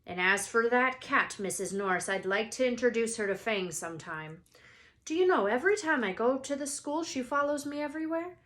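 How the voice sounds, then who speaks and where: Storybook gamekeeper, played by a woman reader: burly friendly man, gruff, blunt and hearty. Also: a little high, expressive, brisk, a bit bright. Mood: grumbling.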